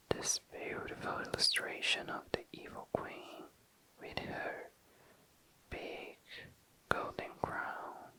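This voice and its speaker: Very slow whispering ASMR host. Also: bright, forceful tone, low-quality recording. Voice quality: very low, breathy whisper. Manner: slow and deliberate, lingering on and repeating words. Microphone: close microphone.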